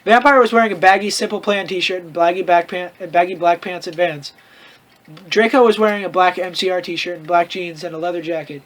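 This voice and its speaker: A man's voice: high-pitched, steady tone, fairly quick, a bit bright. A man hosting a comedic read-aloud of fan fiction, with theatrical, mocking asides and casual commentary.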